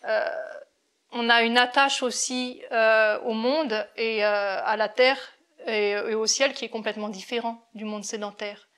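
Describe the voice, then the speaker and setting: A woman born in France, an interview guest, talking about her life slowly and reflectively, with many hesitations and restarts. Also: on the high side, fairly even intonation, fairly bright tone, clean tone. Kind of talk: reflective personal testimony.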